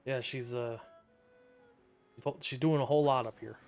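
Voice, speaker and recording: A man's voice: medium pitch, steady tone, moderate pace, a little mellow. A man giving casual, sarcastic gameplay commentary as a streamer, offhand, with game music behind him.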